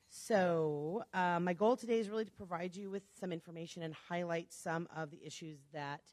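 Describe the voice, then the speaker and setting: A woman originally from Chicago giving a chatty, fast-paced talk to a club audience, with joking asides. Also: deep voice, dry recording. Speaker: guest speaker.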